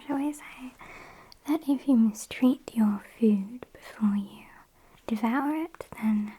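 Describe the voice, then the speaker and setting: A woman's voice: fairly high pitch, a little hiss or hum, low-quality recording. Whispering British woman as a sassy vampire kidnapper. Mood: chatty, sassy. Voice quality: breathy whisper. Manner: unhurried, chatty, deadpan. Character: sassy female vampire kidnapper, dryly menacing yet polite. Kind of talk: whispered ASMR roleplay.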